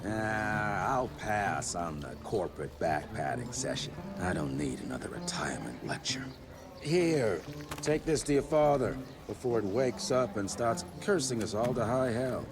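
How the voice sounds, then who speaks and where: An actor playing a gruff, sardonic older cowboy vampire hunter in a video game, with a dry, wry delivery. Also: male voice, fairly low pitch, expressive, measured pace, music in the background. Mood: dismissive.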